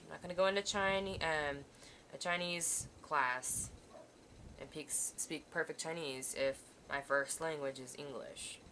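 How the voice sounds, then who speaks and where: A woman's voice: low-pitched, sharp tone, a little hiss or hum. A woman art teacher giving a patient, reassuring step-by-step sewing demonstration while working with her hands at a table. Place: indoors at a table, overhead camera on hands.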